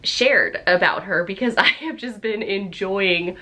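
A woman in her thirties vlogging casually from her home office, slightly hoarse after losing her voice, chatty and upbeat with lots of fillers. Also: mid-range voice, unhurried, sharp tone. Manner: chatty, upbeat, many fillers and little laughs. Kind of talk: casual vlog chat. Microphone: camera on the desk facing her.

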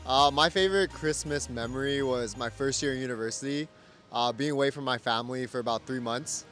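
A young man outdoors beside Christmas trees, speaking in a relaxed, reflective way, holding a mic. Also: mid-range voice. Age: young adult. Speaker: street interviewee. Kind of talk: casual chat. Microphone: handheld mic.

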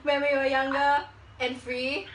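A young woman speaks in a high, very bright voice with a giggly, casual manner, like a vlogger doing prank calls. She is recorded in a small living room on a camera a few steps away. The sound is clearly echoey, with faint noise. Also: little pitch movement, measured pace. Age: young adult. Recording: roomy, noisy, distant camera microphone.

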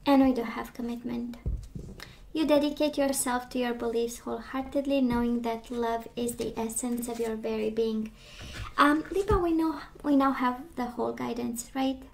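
A woman's voice: a little high, fairly even intonation, normal speed, creaky, a little hiss or hum. Woman with a strained, fading voice. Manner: chatty, reassuring, many fillers and restarts. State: losing her voice, clearing throat. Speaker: tarot reader. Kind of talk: casual tarot reading to camera. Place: indoors at a table of tarot decks.

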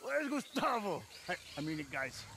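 A man's voice: sits high, big pitch swings, normal speed, noisy background. A man playing a volatile, swaggering cartel-heir party host in a video game, effusive with a menacing edge, often ending phrases with "huh". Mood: hyped, boastful. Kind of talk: video game cutscene acting.

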